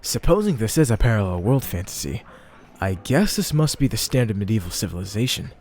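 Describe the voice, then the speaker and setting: A man's voice: lowish voice, very animated, fairly quick, darkish tone. A man playing an ordinary seventeen-year-old shut-in boy in an anime-style fan dub, reasoning casually to himself. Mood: musing, analytical. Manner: casual, self-talking, reflective.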